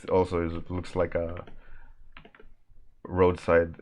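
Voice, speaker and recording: Slow, deep-voiced Swedish man rambling. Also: monotone, a little mellow, slight background noise. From Sweden. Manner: rambling, halting, laughs often, answers chat. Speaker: artist streaming a warmup session. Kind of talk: casual livestream chat while drawing.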